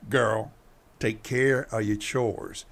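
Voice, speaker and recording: Male actor as stern moonshiner father, gravelly, husky, lowish pitch, threatening, radio drama. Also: steady tone, slow, a bit bright. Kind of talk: audio drama acting.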